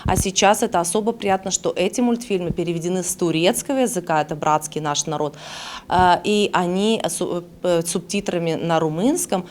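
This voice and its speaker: A woman from Gagauzia gives an interview statement in a slightly low voice. She speaks haltingly, with false starts between phrases. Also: a little mellow. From Gagauzia, Moldova.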